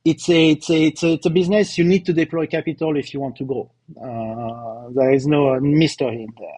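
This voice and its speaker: A man's voice: on the high side, fairly even intonation, brisk, warm tone, dry recording. A middle-aged man with a slight French accent, a company co-founder giving a live results presentation, emphatic, with many fillers and repeated words.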